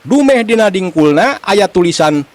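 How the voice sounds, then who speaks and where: A man with a Sundanese accent telling a comic folk tale in an animated storytelling style. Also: sits high, moderate pace, heavy background noise.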